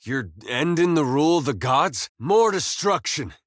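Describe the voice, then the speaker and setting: A man's voice: fairly low pitch, expressive, normal speed, no room sound, lightly acted. A man playing an easygoing, loyal farm-boy soldier in a video game, with an American accent and a folksy, plainspoken, wry manner. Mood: angry, reproachful. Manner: folksy, laid-back drawl, dry humor. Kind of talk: scripted video game dialogue.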